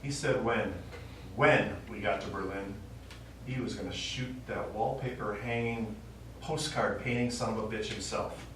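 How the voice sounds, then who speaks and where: An actor playing a stubborn old American war veteran and father, telling his memories haltingly in a stage play. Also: on the low side, steady tone, fairly bright tone. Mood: grim recollection. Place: small theater stage, recorded from the audience. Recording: distant, very echoey theater recording, faint noise.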